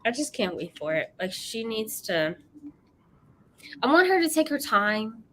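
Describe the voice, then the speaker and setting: A woman in her late thirties co-hosting a casual book chat, chatty and quick to laugh, reading out viewer comments. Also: a little high, sing-song, a bit bright, slight background noise. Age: late 30s. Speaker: co-host of a book livestream.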